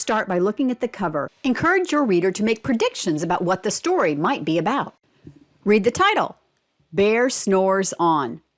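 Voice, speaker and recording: A woman giving scripted, instructional picture-book narration in an expressive, child-directed storytelling manner. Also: medium pitch. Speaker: read-aloud presenter for language teaching.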